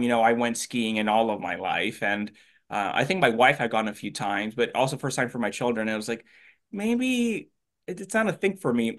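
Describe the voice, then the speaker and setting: Host, middle-aged man, relaxed and rambling, mid-pitched. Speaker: show host. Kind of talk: conversational interview.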